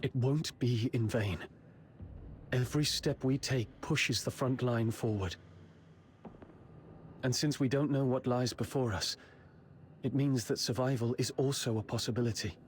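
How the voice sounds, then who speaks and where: A man's voice: medium pitch, unhurried, long pauses, a little hiss or hum, natural voice. An actor playing a determined, idealistic male soldier rallying his comrades in a game. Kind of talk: game voice acting.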